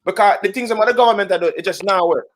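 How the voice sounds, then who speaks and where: A man's voice: sits high, very fast. A man with a Jamaican accent, a panel guest giving an impassioned call to action full of rhetorical questions.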